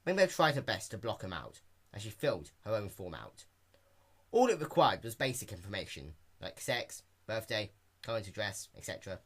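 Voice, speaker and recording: A man narrates fan fiction in an amateur, scripted reading. His voice is bright and sharp, with hugely varied intonation. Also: lowish voice, big pitch swings, normal speed.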